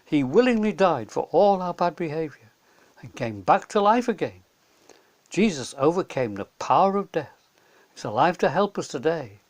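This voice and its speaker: Animated male children's storyteller. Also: a little high, moderate pace. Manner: simple, clear retelling for children.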